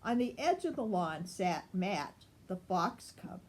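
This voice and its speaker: An older woman reading a children's story aloud in an expressive, grandmotherly style. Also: fairly low pitch, slow.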